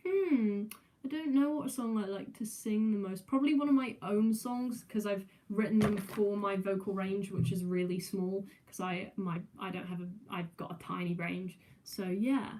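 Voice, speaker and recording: A young woman with a mixed southern English and New Zealand accent chatting casually on a live stream, halting with many ums and restarts, recorded without a proper microphone. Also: mid-range voice, dark tone. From England, raised in New Zealand. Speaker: musician streamer.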